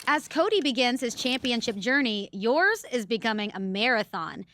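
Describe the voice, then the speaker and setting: A woman's voice: a little high, expressive, moderate pace, fairly bright tone. A woman playing a teasing sports show co-host, presenting an interview in a game cutscene. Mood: upbeat.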